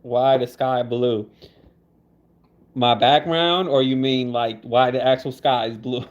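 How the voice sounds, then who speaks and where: A man's voice: mid-range voice, steady tone, slow pace. A young man on a casual livestream, laid-back and teasing, laughing as he answers viewer comments. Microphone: phone. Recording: dull lo-fi phone audio.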